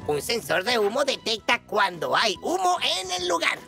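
A woman giving scripted educational narration in a clear, explanatory way. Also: a little high, sing-song, moderate pace, sharp tone. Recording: music playing under the narration.

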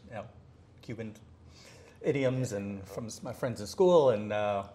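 A middle-aged man from Miami, talking in a relaxed, easygoing way as the guest in a home interview, recorded in a living room. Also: on the low side, a little slow. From Miami, United States. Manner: easygoing, gestures with hands while talking. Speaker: artist guest. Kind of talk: relaxed conversational storytelling.

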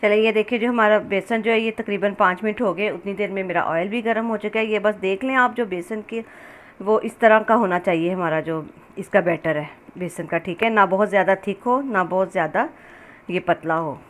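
A woman's voice: medium pitch, normal speed. A woman giving a casual, step-by-step recipe narration as a home cook, in a conversational, instructional manner. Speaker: home cook hosting a cooking channel. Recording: clear background noise, kitchen ambience.

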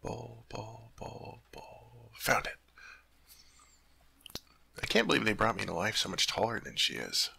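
Bright, gravelly, innocent teddy bear turned man, acted by a man. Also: lowish voice, big pitch swings, a little slow, long pauses, dry recording, exaggerated voice. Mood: puzzled, muttering. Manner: innocent, earnest, chatty, puzzled by human things. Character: sweet, naive, cuddly teddy bear turned into a tall man. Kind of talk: ASMR roleplay addressed to the listener.

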